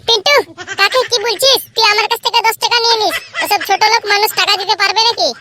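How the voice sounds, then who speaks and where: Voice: extremely high pitch, fast talker, noisy background. An actor voicing a bossy, childlike character in a comedy cartoon, scolding and childishly insistent. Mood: scornful.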